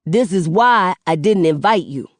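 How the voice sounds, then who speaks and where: A woman voicing an angry young man shouting. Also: on the low side, expressive, no room sound, clean studio sound. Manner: rapid, shouting, swearing.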